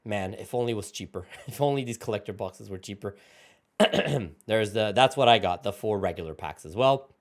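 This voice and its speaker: A man hosting a card game channel, giving casual excited reaction commentary as he reads card text aloud, his voice going hoarse and his throat often clearing. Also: lowish voice, fairly quick. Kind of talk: casual reaction commentary while scrolling an article.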